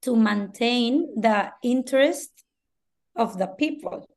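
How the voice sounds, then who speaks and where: A woman from Mexico with a Mexican Spanish accent, Spanish as her first language, chatting on a podcast and often pausing to search for words. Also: fairly high pitch, animated, darkish tone. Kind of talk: casual podcast chat.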